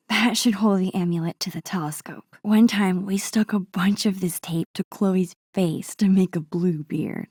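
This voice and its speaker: A woman playing a shy, wistful teenage girl in a video game, musing to herself in a soft, whispery voice. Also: fairly low pitch, slightly rough, no echo, clean studio sound. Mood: amused, musing. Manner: gentle, musing, talking to herself. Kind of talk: introspective game character monologue.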